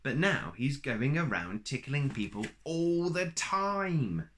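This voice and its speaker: A man's voice: medium pitch, sing-song, slow pace, a bit bright, a little hiss or hum, voice pushed up. A man voicing a gloomy little round man who complains in a heavy, downcast way in a storybook. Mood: glum.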